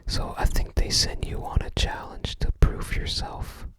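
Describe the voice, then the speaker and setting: This is a fully whispered ASMR voice, hushed and breath-only. The host takes things very slowly, musing aloud in an unhurried, informal way. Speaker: ASMR host browsing a game guide book.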